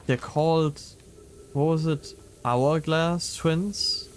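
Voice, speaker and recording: A man's voice: on the high side, slow, slight background noise. A man chatting casually while playing a game on a livestream. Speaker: livestream gamer. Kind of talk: casual game stream chat.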